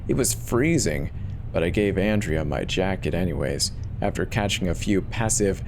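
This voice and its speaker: A man's voice: low voice, animated, normal speed. A man narrating a first-person horror story in a dramatic scripted reading, with a weary edge. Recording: clear noise bed behind the voice.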